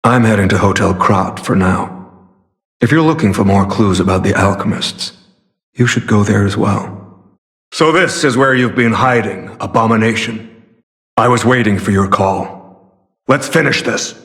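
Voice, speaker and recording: A solemn, gravelly masked warrior. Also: male voice, lowish voice, a bit bright. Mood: courteous, calm, then cold, determined. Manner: measured, formal, solemn. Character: secretive, earnest masked warrior hunting corrupt alchemists. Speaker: video game voice actor. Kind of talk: scripted game character dialogue.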